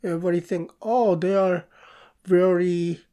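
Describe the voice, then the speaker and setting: A middle-aged man from South Korea with a Korean accent, answering interview questions in a halting way, with pauses. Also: high voice, steady tone, warm tone, smooth. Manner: slow, halting, with pauses and restarts. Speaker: guest artist and professor.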